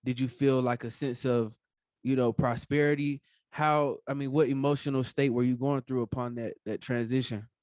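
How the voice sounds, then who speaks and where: A man's voice: mid-range voice, fairly even intonation, moderate pace, darkish tone, no echo, clean studio sound. A man hosting a podcast interview, talking casually with many fillers and encouraging remarks. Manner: casual, filler-heavy, affirming. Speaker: podcast host.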